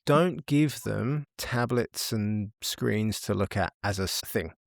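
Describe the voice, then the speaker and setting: A man's voice: on the low side, slow pace, creaky, no room sound, studio quality. A British man in his thirties, a guest in a casual interview, speaking frankly and often repeating words as he thinks. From United Kingdom. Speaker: podcast guest.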